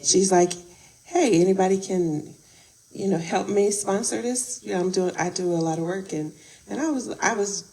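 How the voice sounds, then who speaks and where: A woman's voice: medium pitch, moderate pace, mellow, rough recording. A woman with a Chicago accent, a guest chatting casually, laughing and quoting her past self. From Chicago, born in Arkansas. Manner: laughing, chatty, quotes herself. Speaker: interview guest, event co-producer. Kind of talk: casual interview chat.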